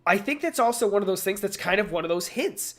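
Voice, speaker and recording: A man co-hosting a casual pop culture discussion, thinking out loud and posing rhetorical questions. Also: high-pitched, animated, fast talker. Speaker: podcast co-host.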